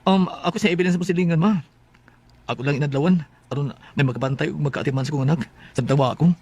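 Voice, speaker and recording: Pleading, fast-talking Bisaya husband. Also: male voice, a little high, steady tone, darkish tone, husky. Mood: subdued, resigned. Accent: Filipino Bisaya. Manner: hesitant, pleading. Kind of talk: Filipino radio drama acting.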